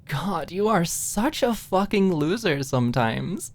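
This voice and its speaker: A woman's voice: lowish voice, very animated, slow pace, dry recording, pitched above the performer's own voice, exaggerated voice. Woman voicing a teasing young woman, playful lilt. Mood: teasing, fond. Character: Alicia, teasing but fond young woman, blunt. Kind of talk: audiobook character acting.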